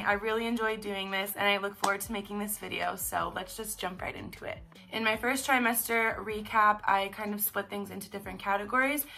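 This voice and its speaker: A young woman with a raspy, congested voice, chatting casually in a vlog. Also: medium pitch, sharp tone. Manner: chatty, upbeat, filler-heavy. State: congested, stuffed up. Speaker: vlogger. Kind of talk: casual pregnancy recap vlog.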